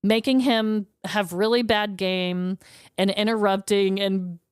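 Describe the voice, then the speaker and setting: A woman co-hosting a podcast in casual chat, animated and quick to laugh. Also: medium pitch, clean tone, no room sound, clean studio sound. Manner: chatty and animated, laughs easily. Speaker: podcast co-host.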